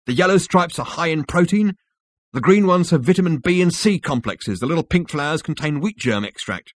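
A man's voice: a little high, lively intonation, brisk, dry recording, pitched above the performer's own voice. A man voicing an earnest, insistent male galactic conspirator in an audiobook. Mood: matter-of-fact. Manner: emphatic, explanatory, insistent. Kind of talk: audiobook character dialogue.

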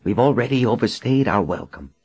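An anxious, cautious man acted by a male narrator in an audiobook. He speaks in a thin, tense hush with a husky edge. The recording is a touch dull. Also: mid-range voice, animated, fairly quick, no room sound, soft background music, pitched above the performer's own voice. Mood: anxious caution. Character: anxious, cautious male companion urging haste.

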